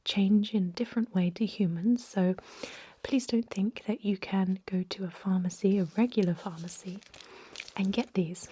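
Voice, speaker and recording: A woman playing a calm, reassuring ancient vampire medic in a whispered ASMR roleplay, speaking gently in a breathy whisper. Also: medium pitch, lightly acted. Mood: soothing, reassuring. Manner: gentle, unhurried, hesitant with soft explanations. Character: calm, reassuring thousand-year-old female vampire medic.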